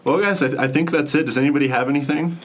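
A man's voice: on the high side, fairly even intonation, fast. A young man hosting a podcast, talking loosely and easygoing with friends. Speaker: podcast host. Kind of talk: casual chat.

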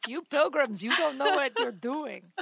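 A woman co-hosting a casual chat, with a fairly deep voice, telling family stories and laughing often. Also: animated, normal speed, creaky, fairly bright tone, no echo, studio quality. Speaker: co-host.

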